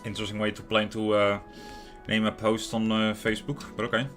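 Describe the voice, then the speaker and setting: A man doing casual live game commentary and reading dialogue aloud, in a halting, playful way. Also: fairly low pitch, monotone, a bit bright. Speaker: game livestreamer. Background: game music.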